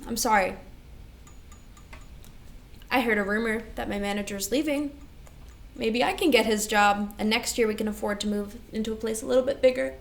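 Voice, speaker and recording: A woman's voice: fairly high pitch, moderate pace, vocal fry, long pauses. A woman in a staged play reading, playing a devoted older sister burdened by grief and responsibility, speaking earnestly and emotionally. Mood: weary, reassuring. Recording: echoey room recording.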